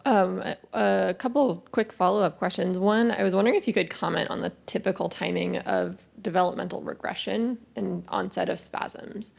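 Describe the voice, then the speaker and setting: A woman in the audience asking a measured, precise follow-up question into a handheld microphone. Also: mid-range voice, vocal fry, darkish tone.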